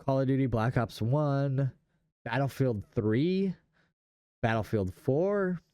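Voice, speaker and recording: A man's voice: mid-range voice, takes it slowly, dark tone, clear, resonant tone, no room sound. A young man in casual gaming chat, speaking in a slangy, enthusiastic way. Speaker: podcast host.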